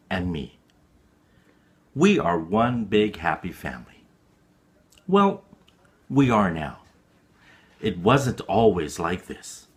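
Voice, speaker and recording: A man reading a story dramatically, with deliberate, clearly phrased and expressive delivery for learners. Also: mid-range voice.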